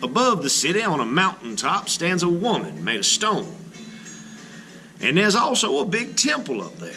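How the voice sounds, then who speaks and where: A man's voice: high voice, huge pitch swings, normal speed, sharp tone, exaggerated voice. A man reading as a chatty, wide-eyed little toy traveler writing a letter, full of eager childlike wonder. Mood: eager, curious.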